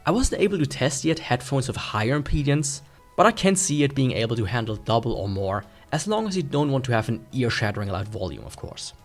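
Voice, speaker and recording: A man's voice: mid-range voice, very animated, brisk, faint music behind. A man gives a scripted tech review in an explanatory, plain-spoken way.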